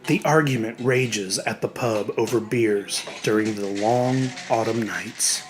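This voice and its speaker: A man reading a horror story as a dramatic audiobook narrator, in a measured, expressive way with deliberate pauses. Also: fairly low pitch, slight background noise. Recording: music bed under the voice. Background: background music.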